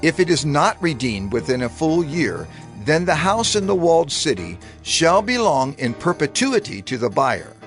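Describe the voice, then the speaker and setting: Expressive male scripture narrator over music. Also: medium pitch. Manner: measured, solemn. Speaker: audio Bible narrator.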